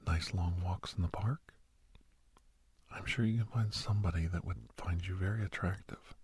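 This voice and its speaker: A man playing a doctor who counsels a young person in an ASMR roleplay, mostly whispering in a slow, breathy, reassuring but firm manner. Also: deep voice, steady tone, a little mellow, a little hiss or hum, natural voice. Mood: gentle, advising. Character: male doctor gently counselling a young werewolf for college.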